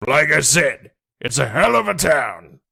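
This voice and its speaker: A man voicing a gruff, cynical male police detective who talks in blunt tough-guy slang. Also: on the high side, big pitch swings, unhurried, sharp tone, exaggerated voice.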